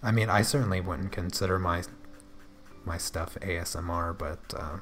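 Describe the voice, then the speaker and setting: A soft-spoken man chatting casually on a drawing livestream, hesitant, with frequent restarts and fillers. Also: low voice, moderate pace, soft background music. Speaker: artist livestreaming while drawing. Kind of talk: casual livestream chat answering viewers.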